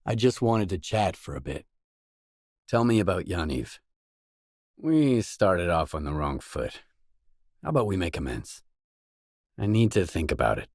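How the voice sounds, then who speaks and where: A man voicing a gruff, laconic video game mercenary who speaks in a guarded, matter-of-fact way. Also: low-pitched, sing-song, measured pace, pauses often, dry recording, studio quality, exaggerated voice. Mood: guarded, conciliatory. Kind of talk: video game dialogue lines.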